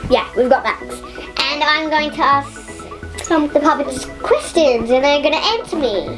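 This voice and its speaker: High, animated young girl hosting. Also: moderate pace, music in the background. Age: child. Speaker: puppet show host. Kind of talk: playful interviewing of puppets.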